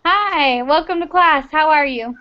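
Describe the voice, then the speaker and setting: Friendly woman teacher with a high voice, coaxing students along on a dull video-call line. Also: measured pace. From Texas, United States. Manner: friendly, patient, encouraging. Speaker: English teacher. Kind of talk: online class small talk. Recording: muffled video-call audio.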